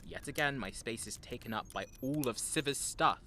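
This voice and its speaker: A man's voice: medium pitch, moderate pace, pushed and bright, slight background noise, lightly acted. A man playing a sarcastic, grumbling male tinkerer in an audio drama.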